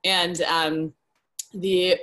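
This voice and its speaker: A woman's voice: on the low side, flat delivery, drawn-out words, pushed and bright, low-quality recording. A young woman speaking casually on a video call panel from home, chatty and full of fillers. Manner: chatty, many ums and likes. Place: home room, indoors. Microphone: laptop webcam video call.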